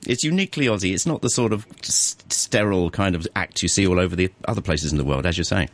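Casting: a low-voiced male radio host, fast and brisk, dry studio sound. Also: animated, no echo. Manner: brisk, affirming interjections. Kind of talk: radio interview.

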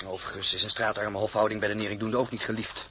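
An actor playing a young count and military officer in a radio drama, polite, formal and well-spoken. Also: male voice, lowish voice, flat delivery, quick pace, lightly acted. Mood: earnest. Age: young adult. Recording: noisy outdoor ambience behind dialogue.

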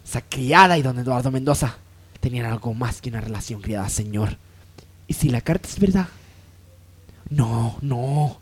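A woman's voice: a bass voice, lively intonation, moderate pace, long pauses, slightly rough, exaggerated voice. A woman playing a haughty, scheming, threatening villainess in a melodramatic amateur radio soap opera. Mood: anxious scheming. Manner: menacing, melodramatic.

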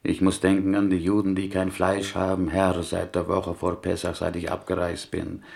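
Mournful fatalist prisoner, Yiddish-tinged Eastern European accent, very low gravelly voice, plaintive, radio play. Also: male voice, completely flat delivery, moderate pace, a little mellow. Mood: lamenting. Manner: plaintive, inverted foreign syntax, rhetorical questions. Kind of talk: radio drama acting.

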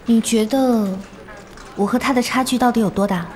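Woman playing a determined young woman, highish voice. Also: steady tone, normal speed, a little mellow, close to an everyday voice. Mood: resolute. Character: stubborn, determined young woman, competitive novice gamer. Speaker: voice actor in an audio drama. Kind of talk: audio drama acting. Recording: music bed under the dialogue.

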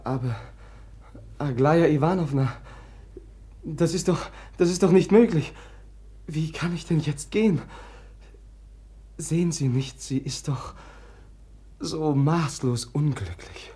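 A gentle, anxious young prince in an old radio drama, earnest and pleading. His voice is husky and unhurried. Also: fairly high pitch. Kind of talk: 1950s radio drama acting.

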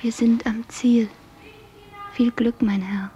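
An actress playing a ceremonious guide in a science fiction radio play, speaking in a formal, measured tour-guide manner. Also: female voice, on the high side, flat delivery, dark tone. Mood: solemn, formal. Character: ceremonious female guide of a sci-fi pleasure house. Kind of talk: scripted radio drama acting. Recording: music behind the voice.

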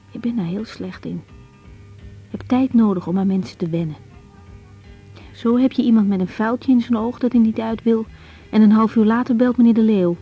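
A woman's voice: medium pitch, natural voice. An actress playing a troubled, conscientious young woman doctor in a Dutch radio drama, speaking hesitantly. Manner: hesitant, searching for words. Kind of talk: 1980s Dutch radio drama acting with spoken diary monologue. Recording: dull 1980s radio recording.

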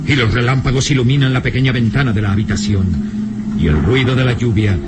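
A man's voice: medium pitch, fast, heavy background noise, lightly acted. A man narrating an old horror radio serial in an ominous, emphatic, suspenseful style.

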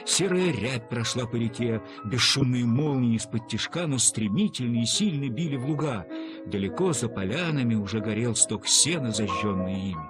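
A man's voice: mid-range voice, expressive, moderate pace, over music. A man narrating a literary story for an audio play in a scripted reading. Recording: cassette transfer.

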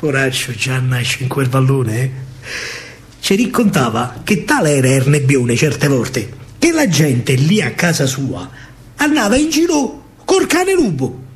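A man with a Roman accent performing a theatrical verse monologue in dialect, expressive, dramatic and colloquial. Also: mid-range voice, unhurried. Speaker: stage actor and storyteller.

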